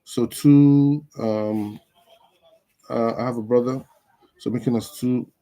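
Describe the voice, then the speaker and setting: A Nigerian man whose first language is Igbo, hosting a casual live stream and chatting with viewers, unhurried with many fillers. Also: medium pitch, steady tone, dark tone.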